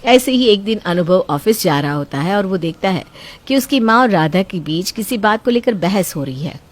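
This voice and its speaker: A woman narrates a scripted family story at a mid pitch. Her delivery is even and steady, the plain manner of a storyteller. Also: moderate pace.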